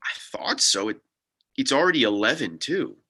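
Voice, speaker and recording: A man's voice: mid-range voice, lively intonation, moderate pace, pushed and bright, slightly rough, exaggerated voice. A man playing a skeptical, practical young sidekick in a script read, with a wry, casual way of talking. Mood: puzzled, wry. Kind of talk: table read of a TV pilot script.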